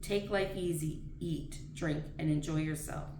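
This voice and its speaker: A woman's voice: sits low, a little slow. A woman reading scripture aloud in an earnest, plain read-aloud manner, with the occasional stumble. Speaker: reader of daily scripture readings. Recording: roomy echo, distant mic, faint noise.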